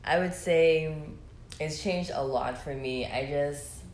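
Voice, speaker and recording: Young woman, very low pitch, reflective casual guest, faint ambient hiss. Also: slow, a little mellow. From Bahamas. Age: 20s. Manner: rambling, reflective, many fillers. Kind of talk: casual conversation. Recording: echoey room, faint ambience.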